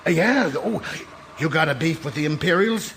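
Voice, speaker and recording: An actor playing a friendly, nervous helper in a science fiction audio drama, hesitant and eager. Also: male voice, on the high side, normal speed, vocal fry, a little hiss or hum. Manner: hesitant, excitable.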